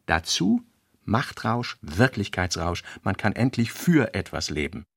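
A man narrating literary notes for a radio play in a deliberate, fragmentary way, weighting key words. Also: fairly low pitch, sing-song, gravelly, a bit bright, no room sound, clean studio sound. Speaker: narrator reading a novelist's working notes. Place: dry studio.

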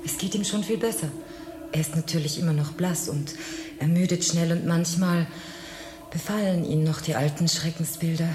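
An actress playing a calm, pious, kindly nun in a radio drama, composed and gentle. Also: female voice, lowish voice, normal speed, background noise, natural voice. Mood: calm, reassuring. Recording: echoey, with music behind.

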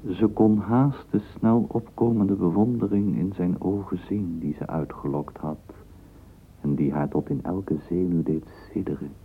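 A man's voice: sits low, steady tone, measured pace. A man narrating a radio drama as a storyteller, reading flowery literary prose. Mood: florid, admiring. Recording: tinny, hissy old radio recording.

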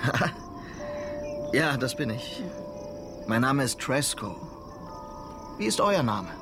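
An actor playing an idealistic, polite lawman in a German radio drama, sober and measured. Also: medium pitch, sing-song, over loud music. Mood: polite, gentle. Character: idealistic, polite lawman travelling the Wild West.

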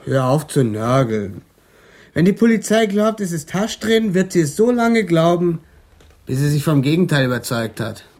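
An actor playing a sardonic young drug dealer in a radio crime drama, polite and mocking. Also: male voice, on the high side, very animated, normal speed, darkish tone. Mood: cool, mocking. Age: young adult.

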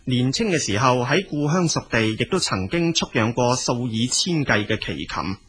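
A man's voice: mid-range voice, lively intonation, moderate pace, a bit bright, breathy edge. A man with a Cantonese accent narrating as a storyteller, in a colloquial spoken style.

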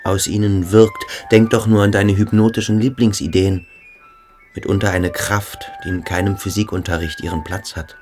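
A man's voice: lowish voice, flat delivery, moderate pace, a little mellow, faint music behind, lightly acted. A man playing a sensitive, brooding cadet in a radio drama, earnest and intense in his inner monologue. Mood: searching, hushed.

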